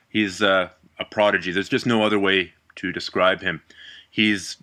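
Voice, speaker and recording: A man from Canada hosting an interview podcast, enthusiastic and quick to laugh. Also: fairly low pitch, sharp tone. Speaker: podcast host.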